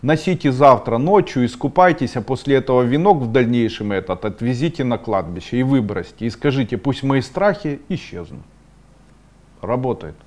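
A middle-aged man hosting an esoteric webinar, reading viewers' questions aloud and answering them bluntly, sometimes scolding with sharp rising outbursts. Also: medium pitch, lively intonation, moderate pace.

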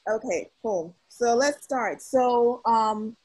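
A young woman from Ghana hosting an online meeting in a relaxed, chatty way with many fillers, heard over a video call. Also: on the high side, slow pace, breathy edge, low-quality recording. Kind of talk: casual meeting talk.

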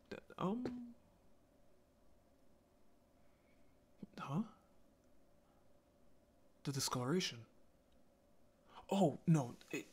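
A man playing an awkward, gentle young male zombie in an intimate roleplay audio drama, stammering and hesitant. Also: on the high side. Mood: hesitant, shy.

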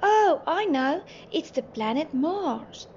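A woman's voice: high voice, animated, measured pace, darkish tone. A woman playing an eager, knowing little schoolboy in a children's storybook. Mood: excited, knowing. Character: eager, bright little schoolboy explaining things. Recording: dull lo-fi, faint background noise.

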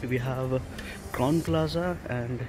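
A man giving casual, halting walking tour commentary as a travel vlogger, in simple phrases. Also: medium pitch, over music. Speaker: travel vlogger guiding a town walk. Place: outdoors on town centre streets.

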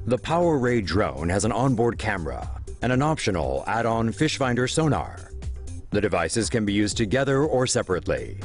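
A man reading scripted narration for a short technology news report. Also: fairly low pitch.

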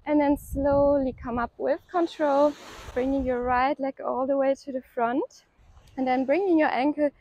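A woman leading a home yoga workout, calmly cueing breaths and poses and encouraging the listener to keep going. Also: sits high, no room sound, dull audio. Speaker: yoga instructor. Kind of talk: guided workout instruction.

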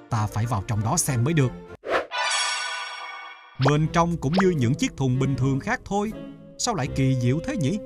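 A man voicing a greedy, imperious emperor in an animated folktale, given to exclamations. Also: medium pitch.

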